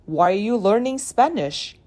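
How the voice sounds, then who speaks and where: A woman's voice: mid-range voice, lively intonation, unhurried, dark tone. A woman asking short, plain practice questions in a beginner's speaking drill. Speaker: question prompter in a language drill. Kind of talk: scripted drill prompts.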